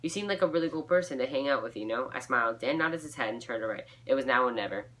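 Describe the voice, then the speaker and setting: A woman's voice: a bass voice, expressive, quick pace, a bit bright. A young woman reading her fan fiction aloud informally, breaking off for giggly, self-mocking asides.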